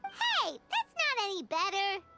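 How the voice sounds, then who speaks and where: A tiny fairy sidekick in a video game, with an extremely high, squeaky child voice. She is cheeky, blunt and excitable, leaping wildly up and down in pitch. Loud music runs behind her. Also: measured pace, heavily acted. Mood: incredulous. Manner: blunt, expressive, third-person self-reference.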